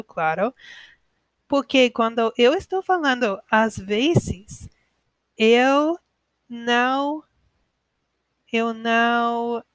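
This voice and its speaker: A woman in her twenties from the United States with an American accent, slowly speaking as a language learner, hosting a casual podcast with many pauses and self-corrections. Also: a little high, no echo. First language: English. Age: 20s. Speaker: podcast host.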